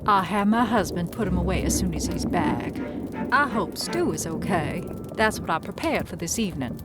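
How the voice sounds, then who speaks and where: A woman's voice: fairly low pitch, expressive, loud music behind the voice, natural voice. A woman playing a courteous, watchful frontier storekeeper in a western audio drama, with polite, formal phrasing. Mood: courteous, hospitable. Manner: polite, formal, measured hospitality.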